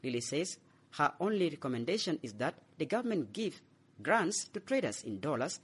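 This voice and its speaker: A man's voice: a little high, big pitch swings, breathy edge. A man filing a scripted radio news report as correspondent. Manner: measured reporting.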